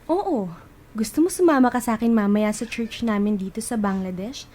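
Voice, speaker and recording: A woman playing a gentle, devout friend offering comfort in a radio drama. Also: a little high, moderate pace, lightly acted. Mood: encouraging.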